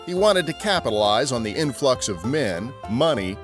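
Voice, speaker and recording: Male podcast narrator. Mid pitch, lively delivery, scripted historical storytelling, faint music. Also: moderate pace.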